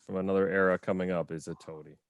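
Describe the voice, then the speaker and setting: A man moderating a panel over a video call, keeping the discussion moving in a relaxed way. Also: sits low, fast, no room sound, highs cut off.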